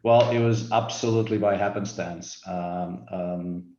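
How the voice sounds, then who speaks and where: Low, flat-voiced Portuguese man. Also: measured pace, reverberant, muffled recording. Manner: thoughtful, hesitant with many fillers and restarts. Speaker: resort CEO guest. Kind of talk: interview answers.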